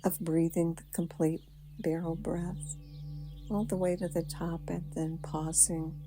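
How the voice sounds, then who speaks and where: A low-pitched woman leads a breathing meditation at an unhurried pace, her words soft and soothing, with long pauses between phrases. Gentle music plays behind her. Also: little pitch movement. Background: soft background music.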